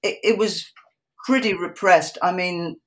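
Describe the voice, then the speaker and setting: A woman with an English accent speaking reflectively about her own life as an interview guest, haltingly, repeating words as she thinks. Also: on the low side, steady tone. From England, in a Polish family. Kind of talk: reflective personal conversation.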